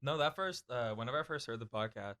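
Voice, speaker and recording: A man's voice: mid-range voice, expressive, fast talker, a crowd in the background. A young man co-hosting a podcast, chatting in a laid-back way with frequent laughs and casual swearing. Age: young adult. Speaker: podcast co-host. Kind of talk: casual chat.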